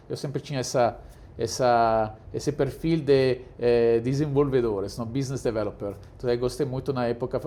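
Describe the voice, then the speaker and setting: A man's voice: medium pitch, a little mellow. A middle-aged Italian man with an Italian accent, a guest in an interview, speaking with many fillers and restarts. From Italy. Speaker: guest, cruise executive. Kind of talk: casual interview talk.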